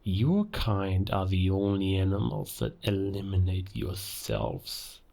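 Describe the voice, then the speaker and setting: A gravelly, low-pitched male werewolf played by a man holds forth in a cinematic audio drama. He is cold and scornful of humankind, delivering his villain's monologue at an unhurried pace. Dramatic pauses make each threat deliberate and theatrical. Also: sing-song, dark tone, heavily acted. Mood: bitter contempt.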